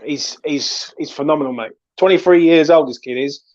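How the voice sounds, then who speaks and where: A man's voice: fairly high pitch, normal speed, a little mellow, dull audio. A man hosting casual football chat, emphatic and opinionated, repeating himself to stress his points. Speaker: host of a football discussion show.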